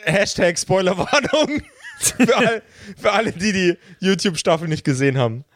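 A man's voice: sits high, big pitch swings, normal speed. A man working as game master, improvising narration and chatting casually with his player.

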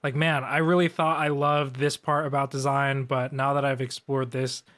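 A man co-hosts a casual chat about design in an easygoing, rambling way. He leans on "like" and "you know". His voice has an ordinary middle pitch. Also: moderate pace. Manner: relaxed, filler-heavy, says "like" a lot. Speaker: designer co-host.

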